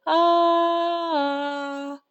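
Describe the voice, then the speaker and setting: A woman's voice: extremely high pitch, level tone, drawn-out words, fairly bright tone, highs cut off, voice pushed up, heavily acted. A woman voicing a timid male pet unicorn in a children's storybook, fretting and fearful. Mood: frightened. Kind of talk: children's storybook read-aloud character voice.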